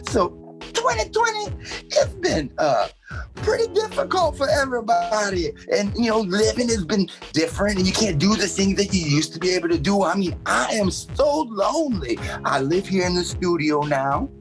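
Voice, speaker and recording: A woman's voice: mid-range voice, very animated, moderate pace, music in the background, close to an everyday voice. A woman playing a lonely, overwrought local radio reporter, giving a rambling, emotive comic announcement. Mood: plaintive. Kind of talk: comic public service announcement monologue.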